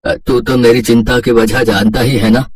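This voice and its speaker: A man's voice: medium pitch, level tone, moderate pace, husky, no echo, voice pushed down, lightly acted. A man playing a worried, long-suffering middle-aged husband and father in a moral story, earnest and fretful.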